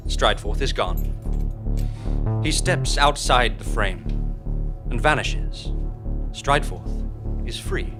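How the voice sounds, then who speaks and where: Guy narrator reading podcast credits, formal and kinda ominous, medium pitch, music under him. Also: animated, slow, long pauses. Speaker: narrator and announcer.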